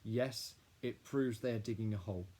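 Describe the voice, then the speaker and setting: A man voicing a calm, patient police phone operator. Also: on the low side, normal speed, lightly acted.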